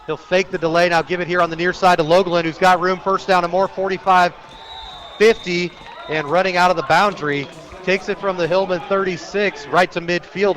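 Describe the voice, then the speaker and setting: Male radio play-by-play sportscaster, fairly high-pitched, clipped descriptive delivery for live high school football. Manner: clipped descriptive phrases, excited on big plays. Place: outdoor stadium, live radio broadcast.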